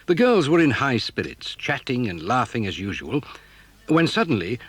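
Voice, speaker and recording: A man's voice: mid-range voice, big pitch swings, normal speed, fairly bright tone. A man with an English accent giving scripted narration for a children's story dramatisation.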